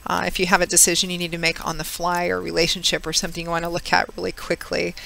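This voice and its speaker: A woman giving a chatty tarot reading, her voice hoarse and a little froggy from a cold. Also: completely flat delivery, fast, bright, forceful tone, poor audio. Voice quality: slightly froggy, squeaky. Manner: chatty, with many fillers. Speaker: tarot reader. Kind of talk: casual monthly tarot reading.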